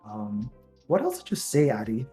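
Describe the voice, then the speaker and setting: A man's voice: mid-range voice, normal speed, roomy sound, soft background music. A young man from Taiwan, co-hosting a casual podcast chat, rambling with many fillers. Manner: relaxed, rambling with many ums and likes. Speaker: podcast co-host.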